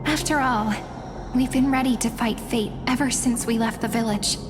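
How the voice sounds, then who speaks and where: A woman's voice: on the high side, moderate pace, background noise, close to an everyday voice. A woman playing a determined, loyal young heroine in a game, self-assured and earnest. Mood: resolute. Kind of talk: dramatic video game acting.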